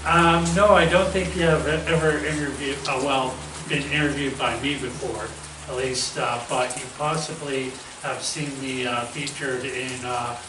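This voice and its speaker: A male interviewer who fumbles through his questions, full of uhs and restarts. He is recorded far from the mic in an echoey space. Clear background noise sits under his voice. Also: mid-range voice, steady tone, moderate pace. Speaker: host, interviewer. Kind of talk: casual phone-style interview. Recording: echoey, distant from the mic, noisy.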